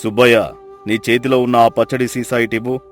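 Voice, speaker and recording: Guy doing a stern village landlord, grave and bossy, storybook drama w/ soft music under. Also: medium pitch, level tone, brisk, natural voice. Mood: angry, commanding. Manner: grave, commanding. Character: stern, fair male village landlord with authority.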